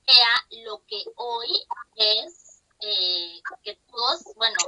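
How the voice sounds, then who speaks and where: Mexican lady hosting a long distance interview, kinda high bright voice, earnest. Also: slight background noise. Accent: Mexican Spanish. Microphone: video call.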